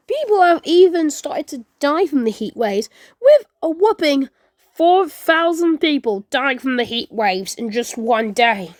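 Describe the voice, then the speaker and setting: A woman with a fairly high voice delivers a homemade emergency announcement in a close, dry sound. She rambles through it, stumbling over phrases, repeating them and correcting herself as she goes. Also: a little mellow, dry recording. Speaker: creator and performer of a fan emergency-alert scenario. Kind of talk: improvised amateur disaster broadcast narration.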